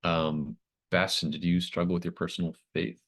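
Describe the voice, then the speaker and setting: A man with an extremely low voice acts as game master in a tabletop roleplaying session. He talks casually and hesitantly, searching for his phrasing and letting thoughts trail off. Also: little pitch movement, normal speed, a bit bright. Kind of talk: casual wrap-up talk with players.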